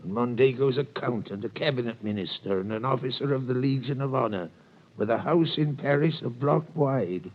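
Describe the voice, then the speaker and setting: An actor playing a wary, gossipy tailor with a probing, exclamatory manner in a 1930s radio drama. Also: male voice, medium pitch, normal speed, lightly acted. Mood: scoffing, gossipy. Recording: thin, phone-like old radio recording.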